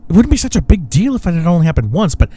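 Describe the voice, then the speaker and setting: A man acting as a cocky, sharp-tongued, flirtatious office rival in an intimate audio roleplay, with a smug, sarcastic way of talking. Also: high voice, sing-song, husky, exaggerated voice. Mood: sarcastic, annoyed. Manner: smug, sarcastic, drawn-out taunting.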